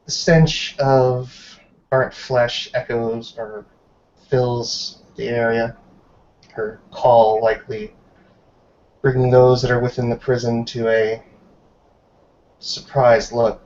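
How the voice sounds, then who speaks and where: A man runs a tabletop roleplay game as its narrator. He speaks slowly and deliberately with little pitch movement and long pauses. The sound is slightly dull, like an online call. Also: flat delivery, a little mellow, a little hiss or hum. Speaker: game master. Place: online group video call.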